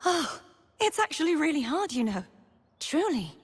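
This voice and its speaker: A young woman playing a gentle, earnest, wistful maiden heroine in scripted video game lines, soft-spoken and breathy. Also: medium pitch, measured pace. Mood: candid, light. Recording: long reverb on the voice.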